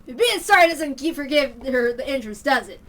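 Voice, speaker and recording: A woman reading aloud gives voice to a hot-tempered male loan shark who yells and hurls crude threats. His voice sits high and sharp, pushed loud, and it is recorded slightly away from the mic. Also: expressive, normal speed, distant mic. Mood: threatening.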